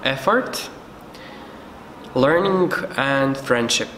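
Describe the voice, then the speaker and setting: A man's voice: mid-range voice, lively intonation, extremely slow, fairly bright tone. A man telling a personal anecdote as a network member. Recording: very echoey hall, faint noise.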